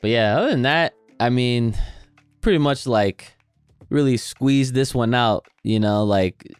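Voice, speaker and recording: Male co-host, rambling casual chat, animated pitch, dry sound. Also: measured pace, clear, resonant tone, no echo, soft background music. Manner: rambling, lots of fillers and restarts. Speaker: podcast co-host.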